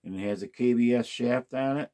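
A man's voice: mid-range voice, brisk, mellow. A man casually talking through an unboxing of used golf clubs, musing aloud to himself as he inspects each one. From United States. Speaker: golf club unboxing host.

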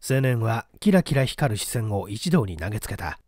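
Man narrating with animated, swooping pitch. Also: moderate pace, no echo, studio quality. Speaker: audiobook reader. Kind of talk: dramatic scripted narration of a mystery story.

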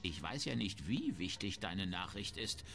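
A man's voice: sits low, animated, unhurried, gravelly, a bit bright, exaggerated voice. A man playing a shrewd, grumbling, self-important town merchant in a fantasy video game. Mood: indignant grumbling. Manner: haggling, disdainful, self-important. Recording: music behind the voice.

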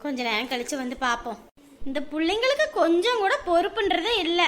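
A woman's voice: very high-pitched, sing-song, fast talker, a little hiss or hum. A woman playing a rural mother who grumbles as she herds goats and scolds her daughter. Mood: grumbling. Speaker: mother of the family. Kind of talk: village family skit.

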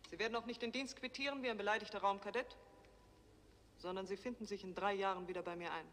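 An actress playing a sharp-tongued, imperious space fleet general, curt and commanding, in 1960s German TV science fiction. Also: female voice, medium pitch, steady tone, quick pace, close to an everyday voice. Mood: scolding. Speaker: actor. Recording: reverberant, noisy 1960s TV soundtrack.

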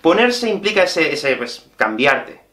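A man in his thirties with a Peninsular Spanish accent gives a language lesson straight to his viewers. His voice is fairly high and very lively in pitch, explaining things expressively. It is recorded in an echoey small room. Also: measured pace. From Spain. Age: 30s. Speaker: Spanish teacher and video host. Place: small indoor room with whiteboard and bookshelf.

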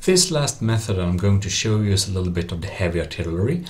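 A man's voice: low voice, sing-song, fast talker, a little mellow. A man with non-native English phrasing, narrating a computer tutorial in a casual, explanatory way. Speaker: tech tutorial presenter. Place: voice-over for a screen recording.

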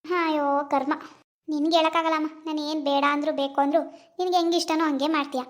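In a family drama, a woman plays an exasperated mother-in-law who scolds at a brisk clip, her voice pitched very high. She nags and frets without pause. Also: steady tone, close to an everyday voice. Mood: scolding.

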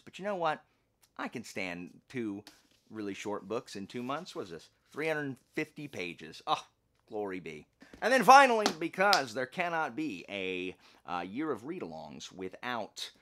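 A man with a fairly low voice that swings widely up and down as he talks. He chats like a vlog host, loose and folksy, with jokey asides and self-interruptions. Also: fairly bright tone. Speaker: book channel host. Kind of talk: casual vlog chat.

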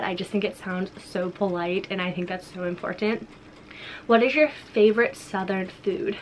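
A woman's voice: mid-range voice, a little hiss or hum, poor audio. A young woman from the US Midwest hosting a chatty sit-down YouTube video from her home office, bubbly and upbeat, full of "like" and "so much fun". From Ohio, US Midwest. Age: young adult. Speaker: lifestyle YouTuber. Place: home office, indoors, small room.

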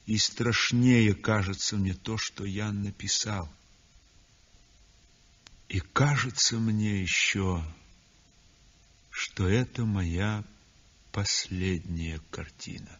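Brooding, tormented painter for a 1960s radio play. Husky, very slow delivery, grave and introspective. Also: male voice, on the low side. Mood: weary, brooding. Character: brooding, tormented, exhausted male realist painter. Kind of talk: 1960s radio drama monologue. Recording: muffled 1960s radio recording.

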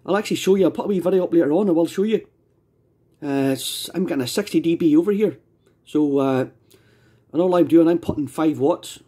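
A Scottish man makes casual small talk over amateur radio. His voice sits a little high, with a mellow, husky grain. He rambles and often circles back over his own phrases. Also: fairly quick. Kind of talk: casual ham radio chat.

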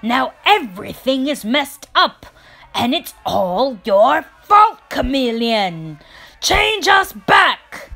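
Angry storybook animals, voiced by one woman: raised, loud, slow and emphatic. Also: high-pitched, very animated, vocal fry, pitched above the performer's own voice, heavily acted. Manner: shouting, emphatic. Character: angry jungle animals blaming and demanding.

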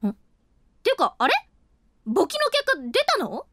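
A voice actress playing an overworked office woman who talks in a frank, expressive and flustered way, and also narrates the story. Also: very high-pitched, a little slow, no room sound, clean studio sound, exaggerated voice. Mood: chatty, flustered. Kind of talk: voice drama acting with inner narration. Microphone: close studio mic.

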